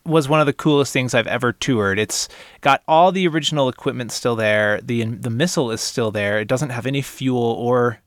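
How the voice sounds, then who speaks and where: A man's voice: medium pitch, moderate pace, no room sound, studio quality. A man co-hosting a casual podcast, telling stories with eager enthusiasm. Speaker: podcast co-host.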